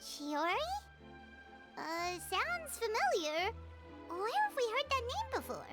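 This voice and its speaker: A woman's voice: extremely high pitch, wildly swooping pitch, takes it slowly, heavily acted. A woman voicing a tiny, perky, curious fairy companion in a video game, who talks about herself in the third person. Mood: pondering. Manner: speaks of self in third person. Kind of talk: video game character acting. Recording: game dialogue under loud music.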